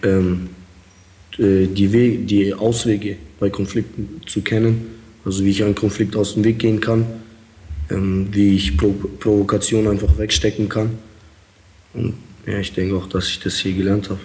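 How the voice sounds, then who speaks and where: Young man, low flat delivery, hesitant interviewee with frequent fillers. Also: normal speed, low-quality recording. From Kazakhstan. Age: young adult. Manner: hesitant, many fillers, calm and plain. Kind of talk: interview answers.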